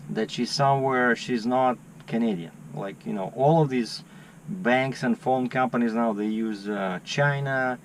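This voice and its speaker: A man's voice: medium pitch, creaky, slight background noise. A man, a truck driver vlogging in casual chat and animatedly telling stories, acting out his phone calls. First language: Russian.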